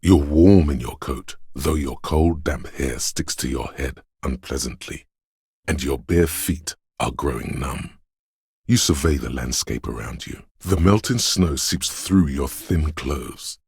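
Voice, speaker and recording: A man voicing a brooding, omniscient inner voice of a city in a video game, narrating gravely and poetically in a measured, husky, gravelly voice. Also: very deep voice, darkish tone, dry recording, studio quality, heavily acted. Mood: brooding, solemn. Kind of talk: slow literary second-person game narration.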